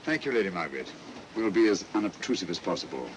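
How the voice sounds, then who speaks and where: An actor playing a shrewd, self-assured English gentleman detective in a 1940s film, speaking with crisp, courteous authority. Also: sing-song, quick pace. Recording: noisy 1940s film soundtrack, train rumble.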